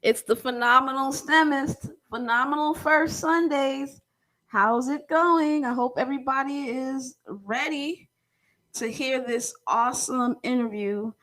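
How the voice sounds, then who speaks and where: A woman's voice: high voice, measured pace, a bit bright, poor audio. A woman hosting a live-streamed interview, speaking in a chatty, encouraging way. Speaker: host.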